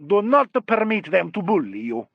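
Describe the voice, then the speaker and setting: A man's voice: high voice, sing-song, moderate pace, gravelly, no room sound, highs cut off, voice pushed up, full character voice. A man voicing a worldly Italian singing teacher in an audiobook, with an Italian accent and a courtly, theatrical, dryly mocking manner. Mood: courtly, solicitous. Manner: courtly, theatrical, dry, formal phrasing. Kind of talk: audiobook character dialogue.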